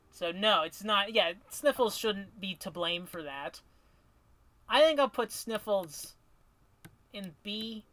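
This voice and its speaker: A man with a high, bright voice chats on a stream, ranking cartoon characters. He wanders into tangents and restarts his sentences often. His voice sounds a little worn from a long session. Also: dry recording, low-quality recording. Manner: rambling, restarts, animated tangents. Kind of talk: casual chat on a stream.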